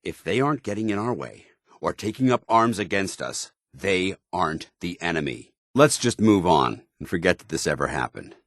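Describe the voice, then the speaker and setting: An actor playing a stern, loyal, zealous soldier in a video game, speaking gruffly with earnest, clipped military formality. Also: male voice, deep voice, sing-song, normal speed, a bit bright, no room sound, studio quality, full character voice. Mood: indignant. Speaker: companion soldier character.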